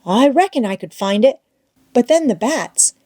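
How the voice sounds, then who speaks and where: A woman's voice: fairly high pitch, lively intonation, moderate pace, a little mellow, pitched above the performer's own voice. A woman voicing an adventurous young boy in a storybook, reassuring but uneasy, with folksy wording. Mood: uneasy reckoning. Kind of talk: children's novel read aloud.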